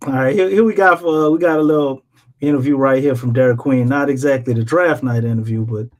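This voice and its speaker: A man with an American accent and an average-pitched voice hosts a casual live sports show. He talks in loose slang, joking and swearing freely as he goes. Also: quick pace, a little mellow.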